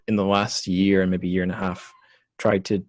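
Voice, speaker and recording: Male interviewer, slightly low voice, casual and searching delivery with self-corrections, dry recording. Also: little pitch movement, moderate pace, no room sound. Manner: hesitant, self-interrupting, curious. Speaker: podcast host.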